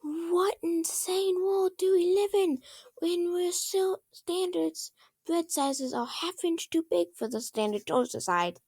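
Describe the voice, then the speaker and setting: Woman acting a shrill, enraged pony princess. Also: slow pace, a little mellow, dry recording, exaggerated voice. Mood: frantic outrage. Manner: melodramatic, exasperated ranting. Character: enraged young female pony princess, ranting melodramatically. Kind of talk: amateur fan fiction character reading.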